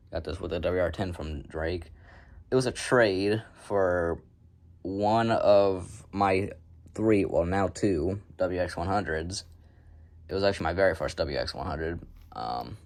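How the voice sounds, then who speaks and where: A man's voice: low voice, darkish tone. A man giving a casual, unscripted show-and-tell as a hobbyist, rambling in an offhand, self-deprecating way. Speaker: hobbyist showing a radio collection.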